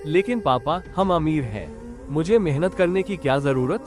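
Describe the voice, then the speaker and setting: A man voicing a spoiled, arrogant rich young man who talks scornfully, in an animated storybook. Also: fairly high pitch, moderate pace, over music, natural voice. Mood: dismissive. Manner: scornful, boastful.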